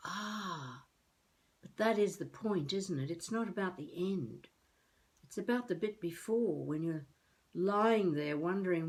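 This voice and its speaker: Actress as elderly woman, wry, feisty, halting self-talk, intimate comic monologue, video diary style. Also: on the low side. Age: older. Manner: wry, musing, halting, talking to herself.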